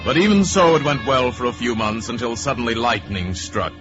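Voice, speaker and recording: A man playing the wry, measured, theatrical narrator of a radio crime drama. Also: medium pitch, close to an everyday voice. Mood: wry, ominous. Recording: dull radio recording with music.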